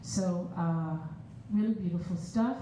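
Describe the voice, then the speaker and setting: A woman hosting a live performance, casually introducing the musicians to the audience in a relaxed, rambling way with many fillers. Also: fairly low pitch, measured pace, very echoey, slight background noise, low-quality recording. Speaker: performance host. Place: large echoey event space.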